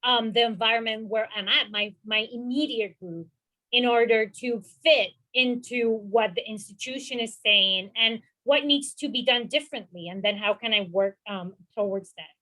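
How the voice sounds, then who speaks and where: Bright high-voiced woman. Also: normal speed, rough recording. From Puerto Rico. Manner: earnest, encouraging, many "you know" fillers. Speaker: panelist, mental health professional. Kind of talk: webinar panel discussion.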